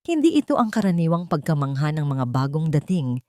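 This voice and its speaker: A woman narrating a scripted dramatic story in measured, short literary phrases. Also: deep voice, big pitch swings, dark tone, no room sound, clean studio sound.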